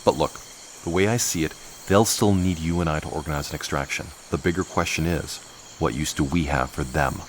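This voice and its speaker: An actor playing a wry, protective expedition leader in an audio drama, with a dry, clipped, commanding way of talking. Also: male voice, rumbling low, fairly quick, a little hiss or hum. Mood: dry, calm.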